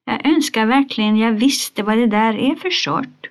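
A woman's voice: on the high side, pitched above the performer's own voice, lightly acted. Woman doing a sweet, polite little girl. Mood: curious.

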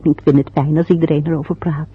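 A woman's voice: low-pitched, level tone, quick pace, husky, phone-quality sound. An actress playing an anxious, fussy middle-aged mother in an old radio play, chatty and eager to please. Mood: fretful.

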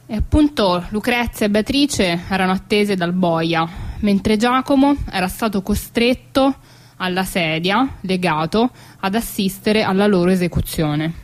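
Female storyteller, mid-range pitch, measured phrasing, pauses, oral historical narration. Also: animated.

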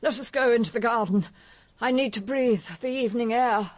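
An actress playing a dignified, weary older English gentlewoman, genteel and restrained but defensive, in a radio drama. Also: a little high, normal speed, close to an everyday voice. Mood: resigned.

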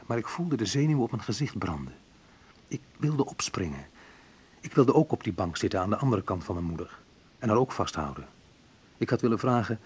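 A wounded man telling of his own youth in a radio play. His voice is low and rough-edged. He speaks colloquially, in short, clipped sentences. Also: a little mellow. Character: bitter, wounded male narrator recalling his youth. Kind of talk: dramatised first-person narration, radio play.

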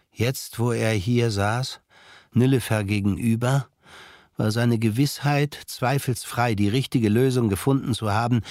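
A man narrating a crime radio play, reading scripted literary prose in a measured storytelling way. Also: lowish voice, darkish tone, no echo, clean studio sound.

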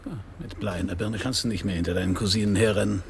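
A man's voice: lowish voice, fairly even intonation, fast talker, vocal fry, a little hiss or hum. An actor playing a world-weary private detective in a German crime radio drama, dry and laconic as he talks and narrates. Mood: tough. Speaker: narrator and lead.

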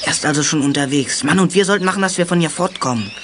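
A man's voice: a little high, quick pace, noisy background, lightly acted. An actor playing a keen, cheeky boy detective sidekick with a colloquial manner in a German youth radio play. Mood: eager.

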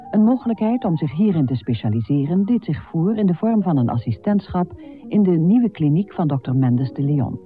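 A man narrating a scripted documentary in a measured, formal manner. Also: a little high, mellow.

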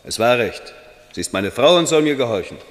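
A man playing a proud, gruff Greek sailor in a German radio play. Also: mid-range voice, animated, brisk, lightly acted. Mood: proud, stubborn. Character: proud Greek sailor home after two years at sea. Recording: long reverb.

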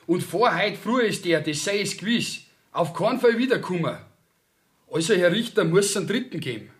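Male actor as young accused peasant, Bavarian dialect, high-pitched, hot-headed, defiant, radio play. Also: close to an everyday voice. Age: young adult. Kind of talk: dialect radio drama acting.

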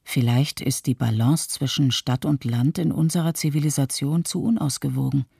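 A man playing a pensive disaster witness with a husky, creaky voice, narrating in a radio play. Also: mid-range voice, fairly even intonation, normal speed, a little mellow, no echo, studio quality. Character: pensive islander resolved to stay positive after disaster. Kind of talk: scripted first-person narration in a radio documentary play.

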